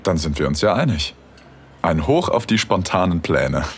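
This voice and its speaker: A man playing a relaxed, teasing, seductively commanding husband in an erotic audio drama. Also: deep voice, normal speed, husky, full character voice. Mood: relaxed, agreeable.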